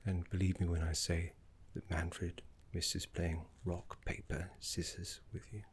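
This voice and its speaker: A man playing a gentle, scholarly necromancy teacher in an ASMR roleplay, speaking in a soft, breathy whisper with courteous, soothing, measured manners. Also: low voice, little pitch movement, heavily acted. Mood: tender, regretful.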